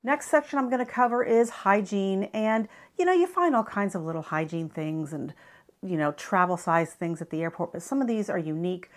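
A woman's voice: mid-range voice, animated, fairly quick. A woman in her fifties with an American accent, chatting and explaining as she reviews travel products to camera. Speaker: travel gear vlogger. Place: small furnished living room, indoors.

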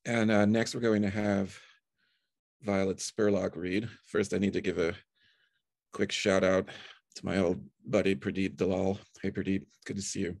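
A man's voice: low-pitched, flat delivery, normal speed, vocal fry, no echo. A man hosting an online event, informally introducing a reader over a video call. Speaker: host introducing poets. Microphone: video call microphone.

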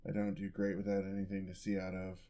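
A man playing a gentle, sheepish, affectionate headless horseman boyfriend in a soft-spoken ASMR roleplay, with hesitant delivery. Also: deep voice, completely flat delivery, fast. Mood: affectionate, reassuring. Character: gentle, clumsy male dullahan boyfriend, centuries old. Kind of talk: ASMR roleplay, intimate acted monologue.

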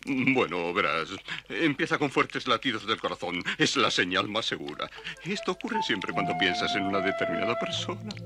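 A man's voice: lowish voice, wildly swooping pitch, moderate pace, background noise. A man playing a portly, lovestruck beetle in a children's cartoon, speaking in a florid, theatrical, gushing way. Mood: earnest. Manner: florid, gushing, prone to operatic crooning. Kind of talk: dubbed children's cartoon acting.

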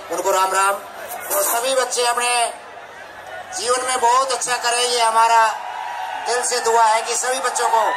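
A man speaking paternally to young fans at an outdoor event, blessing them and appealing for calm. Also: extremely high pitch. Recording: distant recording with crowd noise.

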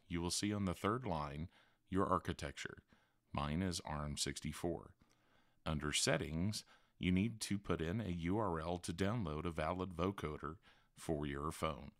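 Guy with a deep voice doing a how-to voiceover, really dry sound, step by step. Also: no echo. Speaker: tutorial host. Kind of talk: how-to screen-recording narration.

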